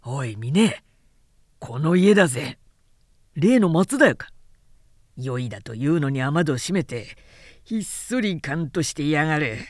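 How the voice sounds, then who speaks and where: A woman puts on a spiteful townsman of old Edo for an audiobook tale. He jeers in rough street slang, low in pitch with mocking, bouncing intonation. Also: very animated, unhurried, long pauses, dry recording, studio quality, heavily acted. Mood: gloating, mocking. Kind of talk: dramatic audiobook storytelling.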